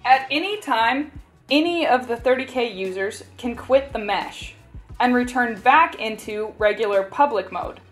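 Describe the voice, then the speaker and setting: A woman's voice: a little high, lively intonation, a little slow. A woman reading a scripted instructional narration for a product tutorial. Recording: music bed behind voice. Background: background music.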